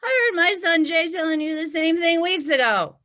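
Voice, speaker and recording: Woman as crabby older mother-in-law, high, animated, sharp-toned, folksy, bossy, scolding, old-time radio drama. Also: moderate pace, exaggerated voice. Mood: crabby impatience.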